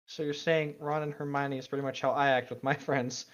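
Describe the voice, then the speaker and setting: A man's voice: medium pitch, little pitch movement, brisk. A man chatting casually and reading aloud as a co-host of a commentary show. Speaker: co-host reading and reacting to fan fiction. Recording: dull, lo-fi microphone sound.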